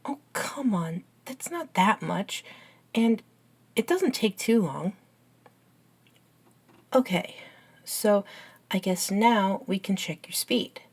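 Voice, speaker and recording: A woman playing an earnest, bookish young best friend in an ASMR audio roleplay, soft-spoken and hesitant, with pauses. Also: medium pitch, lively intonation, husky, heavily acted. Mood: eager, matter-of-fact. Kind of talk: ASMR roleplay acting, one side of a dialogue.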